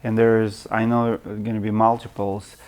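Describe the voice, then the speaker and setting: A man's voice: lowish voice, little pitch movement, measured pace, darkish tone. A man from Russia, hosting a casual interview and asking plain, direct questions in short phrases. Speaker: interview host, roofer.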